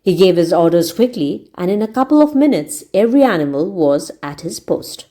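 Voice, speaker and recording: A woman with a very soft-edged, mellow voice that sits a little low. She reads like a storyteller who also teaches, deliberate and explanatory as she moves between narration and explanation. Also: expressive. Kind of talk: read-aloud narration with word explanations.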